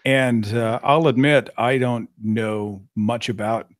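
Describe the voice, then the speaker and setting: A middle-aged man with a raspy voice, hosting a casual live-stream chat and rambling along with plenty of fillers. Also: mid-range voice, fairly even intonation, slow pace, no echo, studio quality. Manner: rambling, conversational, with frequent fillers. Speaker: podcast host.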